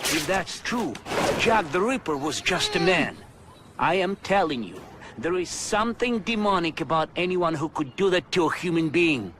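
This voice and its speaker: Male actor for grumbling game gangster: superstitious, conspiratorial, high-pitched, recorded with noticeable noise behind. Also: lively intonation, normal speed, natural voice. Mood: spooked. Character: uneasy, superstitious grumbling male mob henchman. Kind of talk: video game cutscene acting. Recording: clear noise and clicks behind voice.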